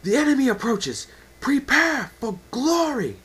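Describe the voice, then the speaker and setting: Man acting a roaring stallion war commander, high and loud. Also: very animated, unhurried, breathy edge, exaggerated voice. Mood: rousing. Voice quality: powerful, roaring shout. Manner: bellowing battle orders. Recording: amateur home recording with background whine.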